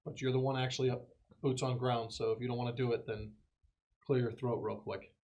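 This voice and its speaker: Man planning tactics, medium-pitched voice. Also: flat delivery, a little fast, a little mellow, dull audio. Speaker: tabletop game player. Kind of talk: casual tactical table talk.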